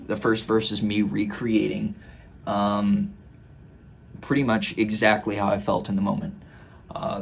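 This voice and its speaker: A man in his twenties talking casually and reflectively, explaining his work in a halting way, recorded in a small home room. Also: fairly low pitch, creaky, slight background noise. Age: 20s. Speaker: musician and host breaking down own song. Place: small home studio bedroom indoors.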